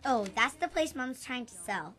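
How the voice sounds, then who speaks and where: A cheeky young kid in a horror radio play, voiced by a woman. The voice is fairly high and lively, with a defiant streak. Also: normal speed, crowd noise. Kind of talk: amateur radio play acting.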